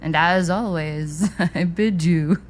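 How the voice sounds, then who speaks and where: An actress playing a tipsy, oddly formal woman in an audio drama, grandiose, deliberate and cryptic. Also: fairly low pitch, a little hiss or hum. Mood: reassuring, tipsy. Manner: grandiose, deliberate, theatrical phrasing.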